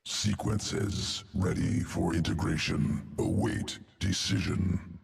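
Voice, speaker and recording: A man voicing a cold, calculating insectoid alien in a video game, speaking in clipped, telegraphic phrases with a gravelly, guttural voice. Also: low voice, fairly even intonation, takes it slowly, heavily acted. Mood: cold, clinical. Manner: clipped telegraphic phrases, dropped words, detached. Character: alien insectoid evolution master of a swarm, cold, clinical, calculating. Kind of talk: video game character dialogue.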